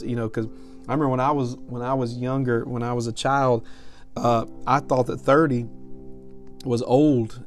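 A man in his thirties speaks as a podcast preacher over plucked guitar music. His voice sits at a medium pitch. He teaches in a conversational way, stressing points firmly and often repeating a phrase before finishing it. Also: a little mellow. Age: 30s.

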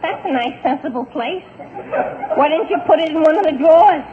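A man's voice: extremely high pitch, lively intonation, fairly quick, a loud crowd. An actor playing a whiny, wisecracking teenage boy, the hapless best friend, in 1940s radio sitcom style. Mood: sarcastic. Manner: whiny, sarcastic. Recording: tinny, noisy 1940s radio broadcast.

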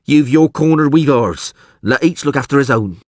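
A man doing a surly thief, pitched up high. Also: animated, normal speed, no echo, close to an everyday voice. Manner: rustic uneducated grammar, grumbling. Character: surly male thief, rustic, defensive, wary.

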